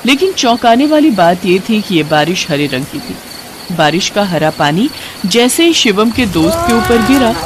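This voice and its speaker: A woman's voice: on the low side, noisy background. A woman storyteller giving a dramatic scripted narration of a story.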